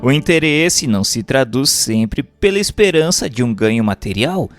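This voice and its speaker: A man's voice: mid-range voice, expressive, normal speed. Formal Brazilian man narrating over music. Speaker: narrator reading a book chapter. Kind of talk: scripted narration of a spiritual treatise. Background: background music.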